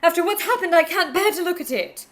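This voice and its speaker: A bewitched young fiancée from a vintage-style radio melodrama, played by a woman. Her voice is high and highly animated, with a secretive, overwrought manner. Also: quick pace, full character voice. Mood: anguished. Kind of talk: melodramatic old-time radio play acting.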